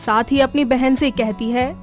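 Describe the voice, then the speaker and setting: Female storyteller, high register, scripted cartoon narration over faint music. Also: steady tone, normal speed.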